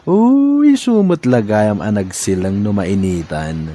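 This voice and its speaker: A man's voice: lowish voice, sing-song, slow, dark tone, a little hiss or hum. A man playing a sly, playful, tipsy boyfriend who wheedles and sweet-talks in a comedy audio drama. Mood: wheedling, tipsy. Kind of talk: comedy radio drama acting.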